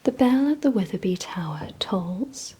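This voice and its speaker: Female ASMR host, vampire roleplay: breathy whisper, mellow tone, deliberate and gently instructing delivery. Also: mid-range voice, animated. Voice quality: breathy, mostly unvoiced whisper.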